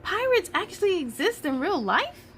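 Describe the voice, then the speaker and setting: A curious, outspoken schoolchild, played by a woman in a storybook reading. The voice is high and very animated, eager and questioning, with faint noise behind it. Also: normal speed, full character voice. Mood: surprised, questioning. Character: curious, outspoken young schoolchildren answering in class. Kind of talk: children's storybook read-aloud.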